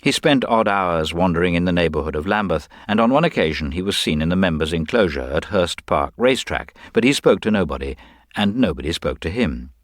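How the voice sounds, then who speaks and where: A man reading a detective story as scripted literary narration, measured, formal and precise. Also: deep voice, animated, no echo, studio quality.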